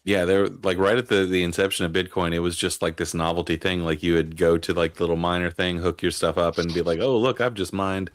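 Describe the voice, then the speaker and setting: A man with a very low, flat voice. He drifts through casual anecdotes full of "like" and drops dry, cutting remarks at his opponents. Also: a little fast, few pauses. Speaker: panelist. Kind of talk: casual debate chat.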